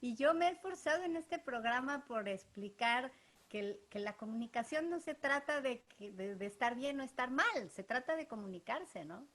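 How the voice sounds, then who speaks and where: A woman's voice: a little high, animated, moderate pace, fairly bright tone. A Mexican woman with a Mexican Spanish accent, hosting an interview in a chatty way with many tag questions. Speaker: interview host. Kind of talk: conversational interview.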